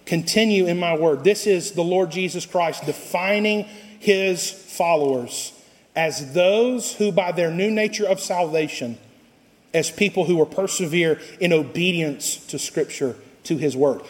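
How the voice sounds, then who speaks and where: A man preaching a passionate sermon as pastor, swinging from slow, low stretches to loud cries. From Costa Rica. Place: church sanctuary. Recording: long reverb of a church sanctuary.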